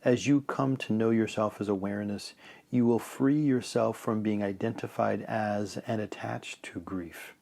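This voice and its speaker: Low voiced guy doing guided meditation, calm and measured, phrases fade off soft at the end. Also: creaky. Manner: calm, measured, trailing off softly at phrase ends.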